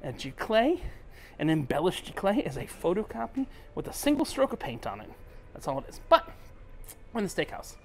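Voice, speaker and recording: Tipsy male cruise vlogger, fairly high-pitched, blunt and profane, walking and talking to a handheld camera. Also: wildly swooping pitch, brisk, darkish tone, a little hiss or hum. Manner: blunt, swearing, ranting, drops to a mutter at times. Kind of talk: casual walk-and-talk vlog. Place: aboard a cruise ship, indoors.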